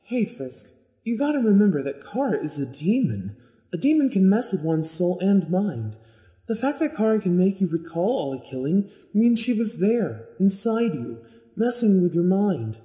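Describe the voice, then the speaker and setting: Guy as earnest advice-giver, high very soft dark tone, lively, lots of echo. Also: normal speed. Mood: insistent. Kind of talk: dramatic reading of a written message. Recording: echoey with long reverb.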